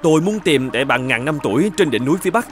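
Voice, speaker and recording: A man's voice: a little high, lively intonation, fast talker, soft background music, lightly acted. A man voicing a brave, polite young knight in a children's cartoon. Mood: curious, determined. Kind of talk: children's cartoon fairy-tale dubbing.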